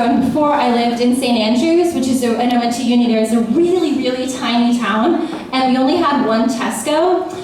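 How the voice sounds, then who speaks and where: A woman's voice: darkish tone, a little hiss or hum. A young American woman from Texas performing her own spoken word poems at an open mic, playful and wry. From Austin, Texas, USA. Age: young adult. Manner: playful, wry, with sudden pitch jumps and laughs. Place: small bar. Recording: echoey bar room, voice from far off.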